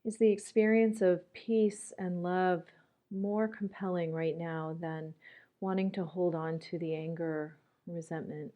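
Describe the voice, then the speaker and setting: A woman guides a meditation in a mellow, fairly low voice. She speaks very slowly and gently, leaving long pauses between calm instructions.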